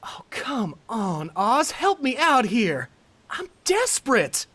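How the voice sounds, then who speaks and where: A woman's voice: on the high side, big pitch swings, slow, a bit bright, heavily acted. A woman playing a cheerful, eccentric, earnest teacher in a comic fan dub, theatrical and coaxing. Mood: flustered. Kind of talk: animated comic fandub acting.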